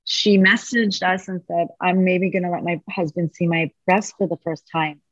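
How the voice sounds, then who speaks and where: A woman speaking quickly and with animation as a podcast guest, chatting in a remote interview. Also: lowish voice, dry recording, muffled recording. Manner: fast, animated talker. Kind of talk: casual conversation.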